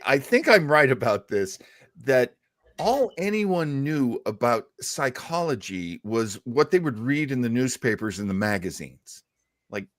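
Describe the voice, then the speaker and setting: A man hosting a podcast in casual chat, with a wry, halting, thoughtful way of commenting. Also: medium pitch, a bit bright, dry recording, studio quality. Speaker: podcast host.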